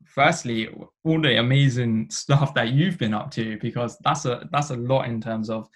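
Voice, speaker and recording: A man hosting a podcast, relaxed, chatting with his guest with many restarts and "uh" fillers. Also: medium pitch, moderate pace. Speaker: podcast host. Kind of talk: casual podcast interviewing.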